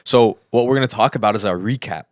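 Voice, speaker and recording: A man's voice: lowish voice, fast, dry recording. A man co-hosting a podcast in casual, unscripted banter, joking and repeating phrases for emphasis, close on the microphone. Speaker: podcast co-host.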